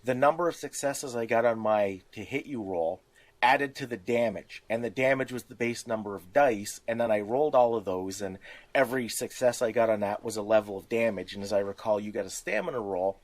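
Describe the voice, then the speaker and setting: A man's voice: lowish voice, a little fast. A man in casual podcast conversation, explaining things in a methodical, matter-of-fact way as a host.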